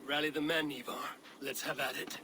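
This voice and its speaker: Steady Viking war leader, played by a man. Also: mid-range voice, moderate pace, noisy background, lightly acted. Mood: resolute. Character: steady, commanding Viking war leader, level-headed comrade. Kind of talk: video game acting.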